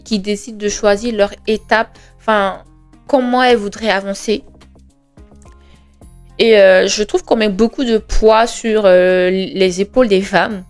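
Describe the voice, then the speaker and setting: A woman in her twenties hosting a podcast, talking in an earnest, conversational way, with frequent hesitations, rhetorical questions and emphatic reassurance. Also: mid-range voice. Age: 20s. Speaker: podcast host. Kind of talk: reflective monologue. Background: faint background music.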